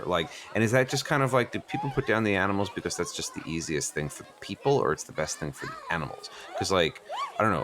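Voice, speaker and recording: A man's voice: sits low, background noise. A man playing an inquisitive, bookish young boy in an improvised comedy radio play, earnest and persistent, rambling pedantically and missing social cues. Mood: earnest, probing.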